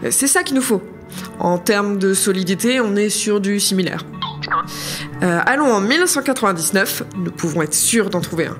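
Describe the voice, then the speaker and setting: An actress playing an eager, cheeky adventurer with a know-it-all manner in a comic audio drama. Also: female voice, medium pitch, lively intonation, normal speed, lightly acted. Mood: helpful, confident. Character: eager, cheeky female time-travel adventurer. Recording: music behind the voice.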